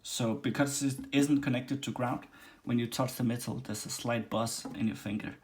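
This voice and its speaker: A man from Denmark with a Danish accent, casually demonstrating and reviewing a leather machine, with many pauses and restarts. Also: medium pitch, steady tone. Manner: halting, many ums and restarts. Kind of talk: casual workshop demo. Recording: faint background noise and clicks.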